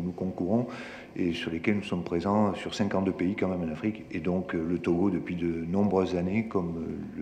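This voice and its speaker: A man giving a formal business presentation as a panelist, speaking in a halting, hesitant way. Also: deep voice, warm tone, lo-fi sound. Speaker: corporate panelist. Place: large conference room at a forum. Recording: echoey hall, reverberant, some noise.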